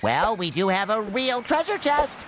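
A high, chirpy cartoon sponge. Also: male voice, sing-song, moderate pace, over loud music. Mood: cheerful. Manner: earnest, chirpy. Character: cheery, naive, childlike sea sponge hero. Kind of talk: exaggerated cartoon video game acting.

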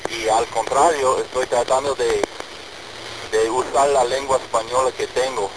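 A man's voice: mid-range voice, fairly even intonation, normal speed. American man over a noisy radio link. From United States. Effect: radio transmission. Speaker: amateur radio operator. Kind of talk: casual chat over ham radio.

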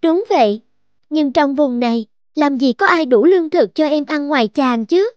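A woman voicing a sly, possessive demon wife in an animated fairy tale, theatrical and insistent. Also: high-pitched, normal speed, no room sound, muffled recording. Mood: coaxing, defensive. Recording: music behind the voice.

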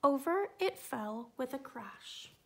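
A woman reading a children's picture book aloud, gentle and expressive. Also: high voice, slow pace, creaky, background noise. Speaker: storytime reader.

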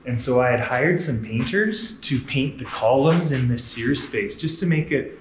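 A man in his thirties, a gym owner telling his story in a relaxed, matter-of-fact way with many fillers. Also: mid-range voice, a little slow, a little hiss or hum. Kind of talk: casual storytelling on a walking tour. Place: large indoor gym with murals. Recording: echoey gym room with faint ambience.

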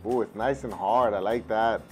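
A man in his thirties with a husky voice from losing it the day before, chatting casually at a home table as he tastes and reviews a snack. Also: lowish voice, steady tone, moderate pace, music in the background. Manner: easygoing, thinks aloud with fillers, switches to Spanish with his kids. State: husky, recovering from lost voice. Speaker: food reviewer. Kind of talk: casual taste-test vlog. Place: home room at a table, indoors. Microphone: lapel.

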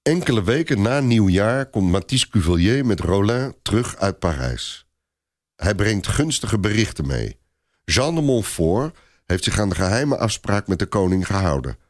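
Male storyteller for scripted narration, lower-than-average pitch, highly varied melody. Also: big pitch swings, moderate pace, no echo, clean studio sound.

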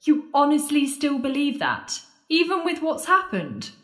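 A woman's voice: high voice, huge pitch swings, a little slow, full character voice. A woman playing a sardonic, frightened penitent at the world's end in an audio drama, babbling and joking wryly out of nerves. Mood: angry, sarcastic. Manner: babbling, wry, joking nervously. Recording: echoey room.

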